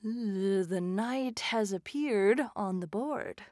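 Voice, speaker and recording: Woman playing a loyal lieutenant, quiet and subdued, slow, dry close recording. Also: medium pitch, no echo, natural voice. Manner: subdued, dutiful. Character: loyal, wounded female lieutenant.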